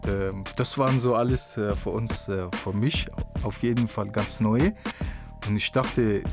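Hesitant young man. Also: on the low side. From Afghanistan. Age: 20s. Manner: calm, hesitant, frequent "äh" pauses. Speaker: interviewee. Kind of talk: personal testimony. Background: music.

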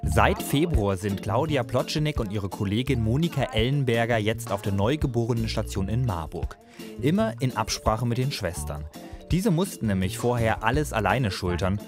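A man reading scripted narration for a television news report in a measured, informative way. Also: mid-range voice, expressive, music in the background.

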